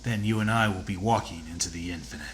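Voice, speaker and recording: A man's voice: low-pitched, fast talker, noisy background, natural voice. A man playing a confident, sardonic, combative interstellar rival in a video game, speaking in a dry, cocky manner. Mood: cool, defiant. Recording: echoey, roomy sound.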